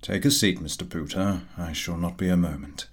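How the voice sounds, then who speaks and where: A man voicing a calm, formal older office boss in a storybook reading, courteous and stately. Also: sits low, normal speed, pitched below the performer's own voice. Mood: courteous, grave.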